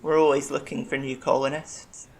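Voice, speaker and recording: An actor playing a gentle, formal bear-like alien medic in a science-fiction audio drama. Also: medium pitch, flat delivery, creaky, close to an everyday voice. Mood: polite, modest. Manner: polite, measured, formal.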